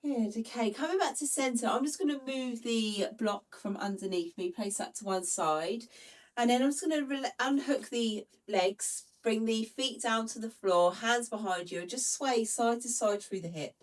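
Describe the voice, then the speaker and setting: A woman, a bit congested from hay fever, calmly guiding a follow-along Pilates workout as the instructor. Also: on the high side, moderate pace, recorded from a distance. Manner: calm, gentle cueing.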